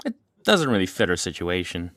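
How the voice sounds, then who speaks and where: Easygoing teen boy, animated delivery. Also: sits low, brisk, dry recording, clean studio sound, exaggerated voice. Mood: placating. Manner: casual, teasing. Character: laid-back, teasing high-school boy, friendly peacemaker. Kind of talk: scripted audio drama acting.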